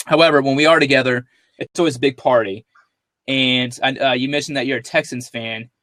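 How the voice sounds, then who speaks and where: A man's voice: medium pitch, fairly even intonation, fairly quick, a bit bright, no room sound. A man hosting a sports podcast, upbeat and loose, joking with his guest. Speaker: podcast host. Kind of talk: casual podcast chat.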